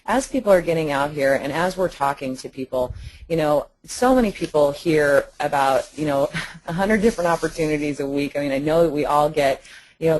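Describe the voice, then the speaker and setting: A woman's voice: sits low, a little mellow, poor audio. A woman hosting a business conference call, agreeable, feeling her way through questions with hesitant phrasing.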